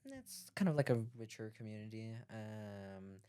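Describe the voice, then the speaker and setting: Guy from latvia chatting on a podcast, low smooth voice, laid back, lots of ums. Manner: relaxed, unhurried, with frequent pauses and ums. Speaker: podcast guest. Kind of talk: casual conversation.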